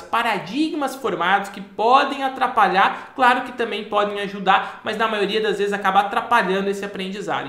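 A man's voice: high voice, gravelly. A man in his thirties, a memory-course teacher, explaining a topic directly to camera in a small office. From Brazil. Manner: animated, gestures a lot while explaining. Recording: echoey room.

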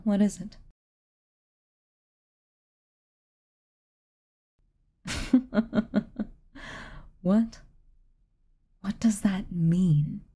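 A woman voicing a haughty, reclusive tsundere witch in a soft ASMR roleplay, aloof and commanding, at times dropping near a whisper. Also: low-pitched. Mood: guarded, questioning. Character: haughty, reclusive tsundere witch, stern but secretly kind.

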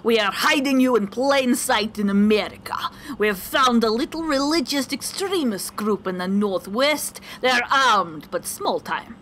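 A woman voicing a stern Russian spy handler with a put-on Russian accent, in a slow, clipped, exaggerated movie-villain delivery. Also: on the high side, animated, fairly bright tone, slight background noise. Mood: conspiratorial.

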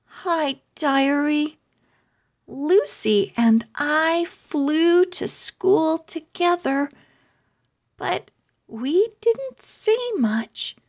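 Woman as young girl owl, storybook character, earnest, sensitive, high-pitched, very lively intonation. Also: extremely slow, exaggerated voice. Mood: wistful.

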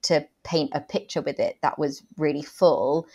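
Young woman interviewer on a video call, pretty deep voice, earbud mic, follows up on answers. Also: moderate pace, a little mellow, slightly rough. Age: young adult. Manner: engaged, sums up and builds on answers. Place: small room at home, indoors. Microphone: earphone mic on a video call.

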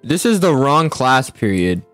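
Young male gamer for casual school roleplay, animated and slangy, music under the voice. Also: mid-range voice, a little slow. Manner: slangy, excitable, stammering repeats. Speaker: roleplay video host playing a student. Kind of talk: casual improvised game roleplay.

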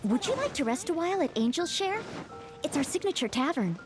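A woman's voice: very high voice, big pitch swings, a little fast, over music. A woman voicing a polite, eager young maid who hopes to be a knight, in an anime-style video game. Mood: courteous. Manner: courteous, apologetic, earnest.

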